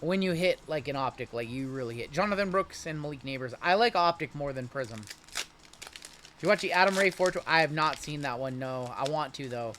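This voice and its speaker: A man's voice: a little high, expressive, normal speed, a bit bright, a little hiss or hum. A man in his late thirties hosting a live card break, chatting casually with viewers while rattling off player names from each pack. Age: 30s.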